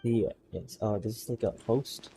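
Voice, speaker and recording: A man's voice: fairly low pitch, moderate pace, creaky, mellow, a little hiss or hum. A young man casually commenting while playing a game and reading its text aloud, rambling and playful. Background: game music.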